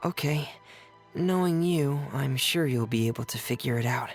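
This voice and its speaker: A man playing a shy, earnest, soft-spoken teenage boy mechanic in a video game, reserved and polite. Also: medium pitch, normal speed, faint music behind, close to an everyday voice. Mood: calm, helpful.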